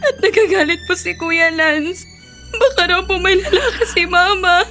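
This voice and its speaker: Very high-pitched, crying little granddaughter. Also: female voice, normal speed, bright, forceful tone, faint music behind. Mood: tearful, distraught. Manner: plaintive, tearful. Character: distraught young granddaughter, small and plaintive. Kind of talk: Filipino radio drama acting.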